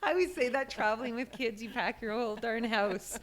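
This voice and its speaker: A woman hosting an interview podcast, enthusiastic and lively, breaking into laughter. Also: on the high side, brisk. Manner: enthusiastic, warm-toned interviewer with bursts of laughter. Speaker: podcast host.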